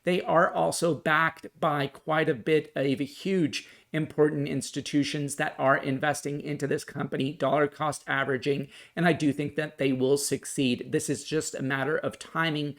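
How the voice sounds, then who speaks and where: A man's voice: on the high side, flat delivery, moderate pace, creaky, few pauses, fairly bright tone. A man hosting a stock market video, explaining and commenting in an earnest, emphatic way that circles back to key points. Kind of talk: explanatory financial commentary to viewers.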